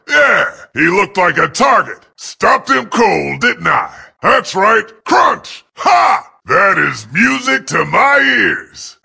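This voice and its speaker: An actor voicing a boisterous, trigger-happy robot brawler in a video game, brash and gleeful in loud combat barks. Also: male voice, high voice, gravelly, husky, heavily acted.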